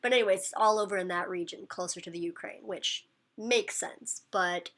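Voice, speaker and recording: A woman chatting straight to her camera, loose and rambling, her sentences full of "like" and "um". Her voice sits at an ordinary middle pitch for a woman. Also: normal speed, a bit bright. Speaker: vlogger. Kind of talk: casual to-camera vlog chat.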